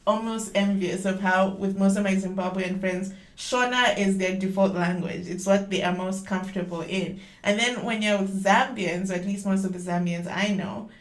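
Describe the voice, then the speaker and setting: A woman's voice: mid-range voice, fairly even intonation, moderate pace, few pauses. A woman hosting a casual podcast interview, who asks her guest curious, chatty questions. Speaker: podcast host. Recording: echoey room.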